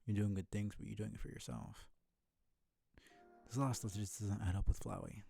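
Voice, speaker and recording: A man gives casual, sardonic live commentary on a game, picking things apart argumentatively. His voice is low and mellow with a creaky edge. Faint game music plays behind him. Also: fairly even intonation, normal speed. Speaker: game streamer.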